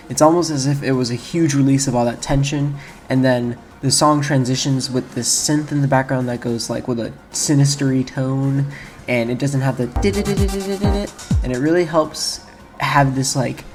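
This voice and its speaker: Casual male game commentator over music. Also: medium pitch. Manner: informal, rambling, self-correcting. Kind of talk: casual video essay commentary.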